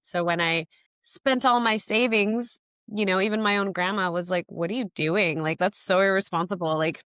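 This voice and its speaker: This young woman talks things through in a reflective, unhurried way, leaning on "like" and little quoted asides from her own head. Her voice sits a bit low for a woman. The sound is close and dry, with no room around it. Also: a bit bright, no room sound. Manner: thoughtful, frequent "like" fillers, quotes her own thoughts. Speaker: app founder, guest. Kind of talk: reflective interview answers.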